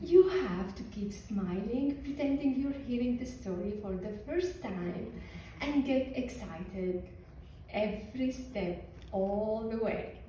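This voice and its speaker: A woman from Syria, a physician giving a wry, humorous storytelling talk with deliberate pauses. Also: on the high side, dark tone, a little hiss or hum. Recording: echoey hall from far off.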